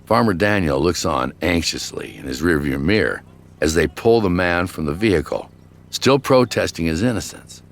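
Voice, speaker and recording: A man with an extremely low voice narrates scripted true crime. His delivery is dramatic and suspenseful, swinging expressively up and down with a gravelly creak. He sounds like the storyteller of a crime documentary. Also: expressive, normal speed. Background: background music.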